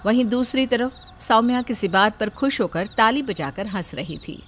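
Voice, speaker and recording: A woman's voice: on the high side, expressive, normal speed. A woman narrating a family moral story and voicing its characters. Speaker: narrator and voice actor.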